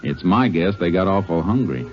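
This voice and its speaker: Low-voiced terse Texas Ranger. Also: male voice, expressive, moderate pace, slight background noise. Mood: businesslike, deducing. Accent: American. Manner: terse, matter-of-fact. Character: calm methodical male Texas Ranger investigator. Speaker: lead actor and narrator. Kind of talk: 1950s radio western detective acting. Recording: dull old radio recording with music.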